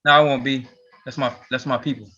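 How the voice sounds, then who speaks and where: A man's voice: mid-range voice, fairly even intonation, a little fast, bright, forceful tone, faint music behind. Easygoing man in his thirties, lo-fi mic. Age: 30s. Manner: easygoing, joking. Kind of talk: casual chat. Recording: dull lo-fi mic.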